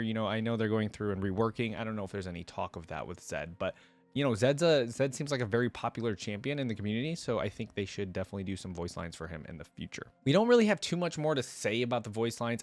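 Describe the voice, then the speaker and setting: A man's voice: mid-range voice, no room sound. A man giving casual, informal reaction commentary, often putting questions straight to his viewers. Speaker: reaction video host.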